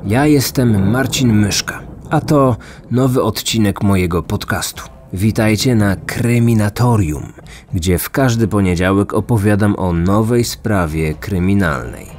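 Man, low voice, scripted podcast narration, deliberate delivery, faint music bed. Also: a little mellow. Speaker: true crime podcast host.

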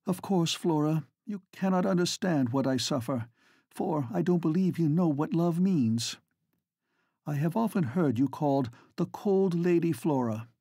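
A devoted, lovelorn gentleman speaks with passion and reproach, as a man reads him. His voice is dark and slightly husky. It is recorded close and dry. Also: fairly high pitch, lively intonation, a little slow, no echo, voice pushed up, full character voice. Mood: passionate.

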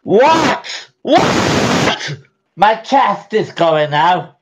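A man answering a call, shouting and swearing furiously, close to tears. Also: high-pitched, sing-song, drawn-out words. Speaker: call recipient. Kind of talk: angry phone rant. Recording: loud background noise.

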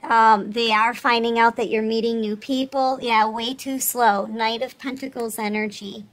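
Higher-pitched woman giving a tarot reading. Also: steady tone, normal speed, fairly bright tone. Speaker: tarot reader, host. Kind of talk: casual spoken tarot reading to listeners.

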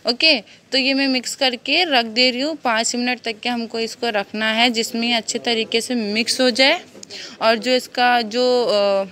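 Bright-voiced woman chatting through a cooking demo. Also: a little high, fairly even intonation, a little slow. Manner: chatty, explaining as she works, many fillers. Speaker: cooking vlogger. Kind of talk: casual step-by-step cooking demo. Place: home kitchen. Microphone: phone camera filming hands from above.

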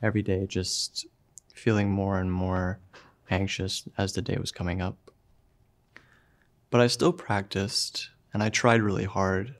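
A young man telling his own story in a short film, soft-spoken and halting, with pauses and fillers. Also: low-pitched, fairly even intonation. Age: young adult. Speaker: student filmmaker narrating his own story. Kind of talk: personal narration for a short film.

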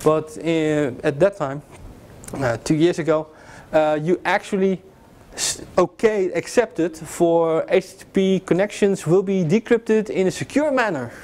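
A man's voice: on the high side, measured pace, darkish tone, a little hiss or hum. A young adult man giving an informal security lecture to a class, joking with many fillers and restarts, recorded by a far camera in a classroom. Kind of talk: informal technical lecture with slides. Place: classroom with projector screen.

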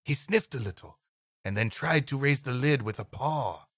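A man with a bright, forceful voice and very animated intonation, reading like a theatrical storyteller and bantering as a podcast co-host. The sound is dry and close, with no room around it. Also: mid-range voice, moderate pace, husky, no room sound. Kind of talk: dramatic reading of an old story with comic banter.

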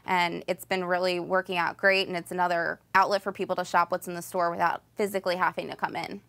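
A woman's voice: fairly low pitch, level tone, fast talker, vocal fry, a bit bright. An American woman in her thirties, a shop owner explaining her business in a conversational, plain-spoken interview style. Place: small home decor shop, indoors. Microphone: lapel microphone.

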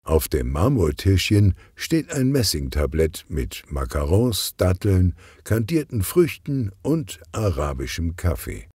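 A man narrating a children's crime story in a measured, expressive storytelling manner. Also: sits low, husky, dry recording, studio quality. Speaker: audiobook narrator.

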